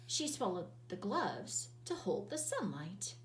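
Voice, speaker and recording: A woman teacher reading a children's picture book aloud in an expressive, sing-song storytelling voice, drawing out words. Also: medium pitch, slow pace, echoey room, distant mic, slight background noise.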